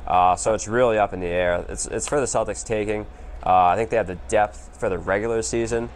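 Male co-host, lowish voice, measured conversational sports analysis, background noise. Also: steady tone.

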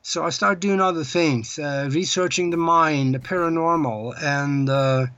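A man's voice: a little high, measured pace, sharp tone, dry recording, lo-fi sound. An older man from Norway, a guest on an interview podcast, telling his story unhurriedly with many hesitations. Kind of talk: casual interview.

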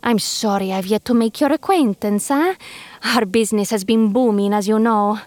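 A woman's voice: medium pitch, a little mellow, no room sound, natural voice. Woman doing a purring, cunning cat. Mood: smug. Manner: cunning, mocking, drawn-out. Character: sly, smug male cat gelato boss.

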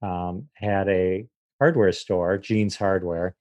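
American guy, lowish voice, casual interview talk, repeats himself a bit, very dry sound. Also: fairly even intonation, slow, darkish tone, dry recording, highs cut off. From Michigan, United States. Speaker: comedian and podcaster, guest.

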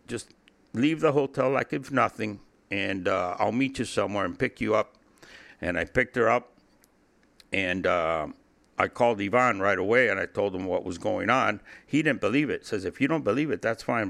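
A man's voice: on the low side, gravelly, a bit bright. A middle-aged man, an interview guest, telling a first-person story casually and acting out the dialogue as he goes. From Cuba. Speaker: interview guest, former drug trafficker.

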